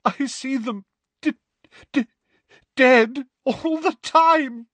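A man's voice: extremely slow, a bit bright, no echo, exaggerated voice. A man voicing a distraught middle-aged mother who sobs and stammers through tears, in an audiobook reading. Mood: sobbing. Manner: stuttering, high and strained. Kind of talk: audiobook character voice.

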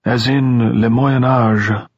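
A man voicing an elderly headmaster who is courtly, grave and resolute, with formal, pedantic, measured diction in an audiobook. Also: mid-range voice, husky, no room sound, muffled recording, pitched above the performer's own voice, lightly acted. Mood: dreamy, musing.

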